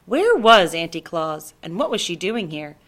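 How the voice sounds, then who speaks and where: A woman narrating a children's story aloud in an expressive storytelling style. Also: lowish voice, a little slow. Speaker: storybook reader.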